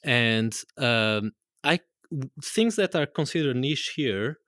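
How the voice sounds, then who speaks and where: A man's voice: mid-range voice, expressive, slow pace, fairly bright tone, dry recording, clean studio sound. Portuguese-accented man, chatty and rambling. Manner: rambling, self-correcting, laughs often. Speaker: guest musician. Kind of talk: casual live conversation. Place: live event room with audience.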